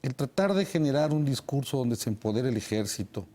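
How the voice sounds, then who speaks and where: A Mexican-accented man comments as a guest analyst on television. His medium-pitched voice is mellow and relaxed in tone. He argues emphatically, repeating words as he builds each point. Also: brisk. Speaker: military affairs analyst, interview guest. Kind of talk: TV interview, opinion commentary.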